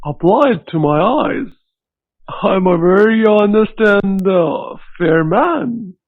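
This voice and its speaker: A man playing a greedy, scheming merchant in an animated fairy tale, with a theatrical, drawn-out, pleading delivery. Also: high voice, narrow, tinny audio, heavily acted. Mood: sly, pushy.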